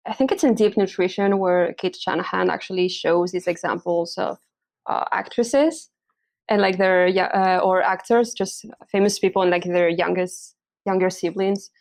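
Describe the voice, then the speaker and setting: Gravelly-voiced woman from Spain. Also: mid-range voice, darkish tone. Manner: hesitant, many fillers and restarts, laughs often. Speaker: hormonal and pregnancy coach, podcast guest. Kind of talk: casual interview chat.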